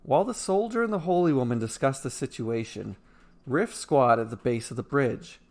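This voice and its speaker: A man reading a scripted fantasy short story as a storyteller, with dramatic, deliberate phrasing. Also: medium pitch, expressive.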